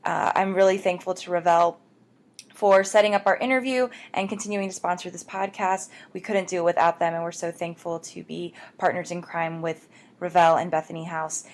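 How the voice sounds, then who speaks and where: A woman's voice: fairly low pitch, fairly even intonation, normal speed. A woman hosting a book podcast, talking to listeners in a bubbly, chatty way and calling them guys. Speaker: podcast host. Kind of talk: upbeat podcast intro and promo.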